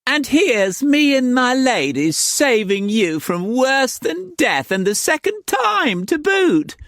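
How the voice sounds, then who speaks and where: A man's voice: very high voice, big pitch swings, slow, a bit bright, dry recording, clean studio sound, pitched above the performer's own voice, exaggerated voice. A man voicing a small, bossy brothel madam who shrieks and turns sweetly sarcastic. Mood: furious. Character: shrill, bossy little madam, scheming and loud.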